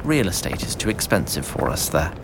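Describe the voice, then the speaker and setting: A man's voice: deep voice, very animated, fairly quick, vocal fry, heavy background noise. A man narrating a fantasy audio drama in a theatrical, formal storybook style. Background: music and sound effects.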